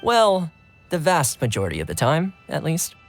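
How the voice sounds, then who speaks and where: A woman's voice: low voice, wildly swooping pitch, normal speed, faint music behind, heavily acted. A woman playing a playful, reassuring young attendant in a video game, casual and friendly. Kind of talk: English game dub acting.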